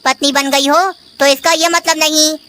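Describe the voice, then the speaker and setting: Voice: high voice, level tone, moderate pace, bright, forceful tone, slight background noise. An actor voicing a bullying, drunken male sparrow, a rude and domineering husband in a children's cartoon. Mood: angry, defiant. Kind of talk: Hindi children's cartoon dialogue.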